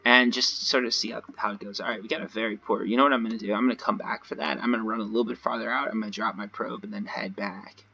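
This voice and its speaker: A man with a slightly hoarse voice gives casual, rambling gameplay commentary, talking directly to his viewers in a conversational way. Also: on the low side, fairly even intonation, quick pace, few pauses, sharp tone, dull audio, slight background noise. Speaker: gaming video creator. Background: voice-over recorded over gameplay.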